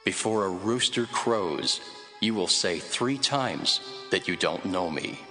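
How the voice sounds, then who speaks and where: An actor playing a calm, solemn, authoritative holy teacher in a scripture audio drama, speaking in measured phrases. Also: male voice, low voice, faint music behind, exaggerated voice.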